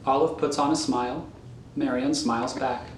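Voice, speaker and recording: A man's voice: medium pitch, fairly even intonation, normal speed. A man narrating a script, reading out scene headings and stage directions. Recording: echoey room, faint noise.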